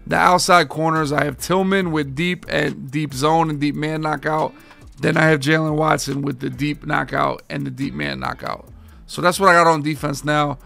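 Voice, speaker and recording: A man in his thirties, a gaming streamer talking casually and energetically to his viewers, his voice a bit hoarse from overuse. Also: a little high, moderate pace, faint music behind. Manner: energetic, slangy, addresses viewers as boys and guys. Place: home game room with framed pictures.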